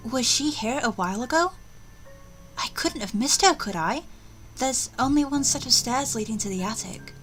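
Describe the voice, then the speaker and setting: A woman's voice: a little high, a bit bright, rough recording. A woman hosting a gaming video, reading a story aloud theatrically with casual commentary. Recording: faint music behind.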